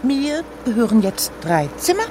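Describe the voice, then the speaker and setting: An actress playing a haughty housekeeper turned scholar's wife, indignant and self-important, in a radio sketch. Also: female voice, a little high, big pitch swings, normal speed, warm tone. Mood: indignant complaining. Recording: car and traffic noise behind. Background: vehicle ride ambience.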